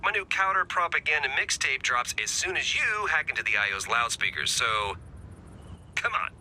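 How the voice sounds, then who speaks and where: Bright, wry resistance tech guy. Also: fairly high pitch, big pitch swings, normal speed, slight background noise, heavily acted, low-quality recording. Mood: wry, rallying. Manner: chatty, sardonic, dramatic. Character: wry, excitable male resistance technician rallying fighters. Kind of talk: video game voice acting.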